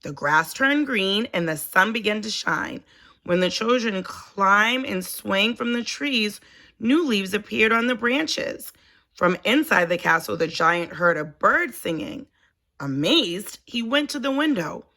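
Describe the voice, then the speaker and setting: A woman reading a children's story aloud in an expressive, deliberate storytelling manner. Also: medium pitch. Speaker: storybook reader, teacher.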